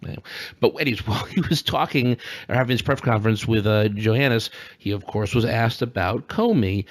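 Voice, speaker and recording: A man's voice: medium pitch, a little fast. A man co-hosting a podcast with casual, joking political commentary full of teasing asides. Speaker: podcast co-host.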